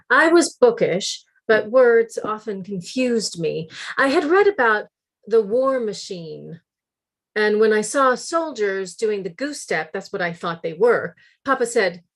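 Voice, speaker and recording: An actress playing a thoughtful middle-aged refugee woman in a dramatic monologue, telling her memories in a measured way. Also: medium pitch. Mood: wistful, remembering. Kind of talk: dramatic monologue at a staged script reading.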